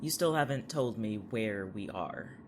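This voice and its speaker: A man's voice: fairly low pitch, animated, unhurried, background noise. An actor playing a wary, irritated man, blunt and skeptical, in an audio drama. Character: wary, irritated man held by a strange therapist.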